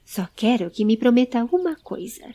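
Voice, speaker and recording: Uma mulher interpretando uma senhora calma e cuidadosa, que fala sem alarde e tranquiliza, numa história em áudio. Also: female voice, on the high side, moderate pace. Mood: tranquilizadora. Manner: unalarmed, reassuring. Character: calm, caring lady of the treehouse.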